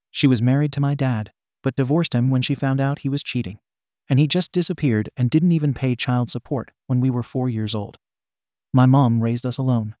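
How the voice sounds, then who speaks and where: Guy reading reddit posts, mid voice, super dry close mic, steady pace. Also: medium pitch, dry recording. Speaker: story narrator. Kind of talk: reading online posts aloud.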